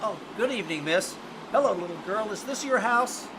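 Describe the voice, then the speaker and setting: A man's voice: high-pitched, lively intonation, a little fast. A man playing an insistent but uneasy newspaper reporter in an old-time radio drama, stammering as he excuses himself. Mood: falsely friendly. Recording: noisy live stage recording.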